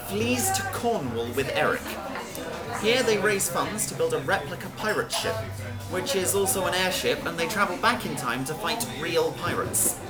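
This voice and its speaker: A woman's voice: mid-range voice, poor audio. A woman reading a scripted story aloud as a narrator, with music behind her. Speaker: reader.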